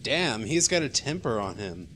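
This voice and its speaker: A man playing a wisecracking, excitable junior police officer in an amateur radio drama, sarcastic but deferential to his boss. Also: mid-range voice, normal speed, a little hiss or hum. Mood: wry. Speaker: voice actor in amateur radio play. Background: music.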